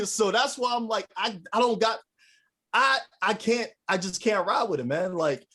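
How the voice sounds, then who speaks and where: A man's voice: high-pitched, animated, unhurried, sharp tone, husky. A man co-hosting a casual faith-based talk show who talks in slangy, emphatic bursts and laughs mid-sentence. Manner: slangy and emphatic, keeps asking "you know what I'm saying?"; laughs while talking. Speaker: podcast co-host.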